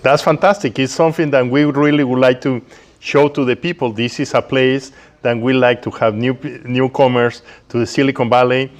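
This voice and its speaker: A man's voice: medium pitch, steady tone, moderate pace. A man who speaks English as a second language hosts a casual live interview in an encouraging way. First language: not English. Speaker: host, interviewer. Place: community tech workspace, live event.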